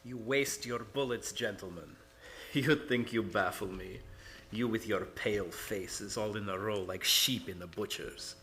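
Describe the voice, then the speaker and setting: An actor playing a menacing, gloating vampire count in radio horror style, with a taunting, deliberate delivery. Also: male voice, fairly low pitch, background noise, close to an everyday voice. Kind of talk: old-time radio horror acting. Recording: loud music under the voice.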